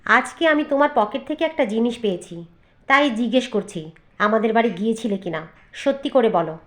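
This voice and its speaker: Woman acting a defiant young wife, highish voice. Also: lively intonation, a little fast, fairly bright tone. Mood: accusing. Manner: accusing, firm, outspoken. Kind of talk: cartoon voice acting.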